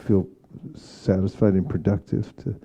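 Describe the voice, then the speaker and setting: A man speaking as a spiritual teacher in an informal devotional discussion, reflective and halting in his phrasing. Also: low voice, flat delivery, dark tone, dull audio. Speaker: spiritual teacher leading a scripture class.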